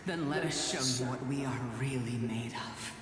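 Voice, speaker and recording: A woman's voice: a bass voice, normal speed. A woman voicing a proud, bitter leader of an oppressed people in formal, declamatory game dialogue. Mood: indignant, defiant. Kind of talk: solemn theatrical game dialogue. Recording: cavernous reverb, music underneath.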